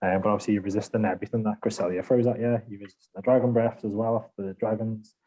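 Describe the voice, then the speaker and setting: Man, low-ish voice, warm and mellow, casual podcast panelist, rambling, self-deprecating. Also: fairly even intonation, very fast, muffled recording. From Liverpool, England. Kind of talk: casual gaming chat.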